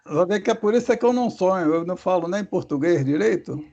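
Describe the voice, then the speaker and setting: A man leading a casual religious study discussion, halting and searching for words with many restarts, over a video call. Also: high voice, animated, creaky, dark tone. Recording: dull lo-fi call audio.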